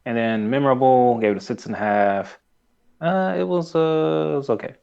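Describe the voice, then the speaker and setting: Male podcast co-host, average pitch, slightly lo-fi sound, hesitant and easily amused casual recap. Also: normal speed, gravelly, a little mellow, clear, resonant tone.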